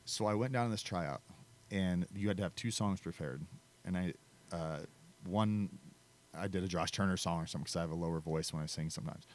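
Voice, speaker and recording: A man's voice: deep voice, brisk. A man, a country singer, chatting casually as a podcast guest and telling self-deprecating stories. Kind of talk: casual interview chat.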